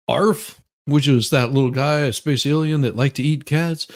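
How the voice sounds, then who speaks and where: Man, higher-pitched, very animated, theatrical legal reading, playful, creaky voice. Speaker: co-host reading a court ruling aloud. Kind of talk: dramatic reading of a legal document with casual asides.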